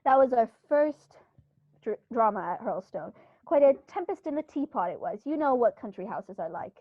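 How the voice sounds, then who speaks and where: A genteel, emphatic country gentleman, played by a woman in a theatrical old radio drama style. The voice is high, and the recording is close and dry with a muffled top end. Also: expressive, normal speed, no room sound. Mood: confiding. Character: cordial, indignant upper-class English country squire, male. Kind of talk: 1930s-style radio play acting.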